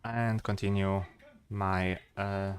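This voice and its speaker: A man in his twenties with a Polish accent, chatting casually to stream viewers and thinking aloud, with pauses and fillers. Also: deep voice, little pitch movement.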